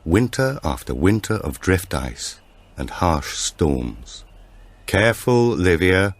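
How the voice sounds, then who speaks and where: A man reading a thriller audiobook in a measured, dramatic storytelling manner. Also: a bass voice, sing-song, breathy edge. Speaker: audiobook narrator. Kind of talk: scripted thriller narration.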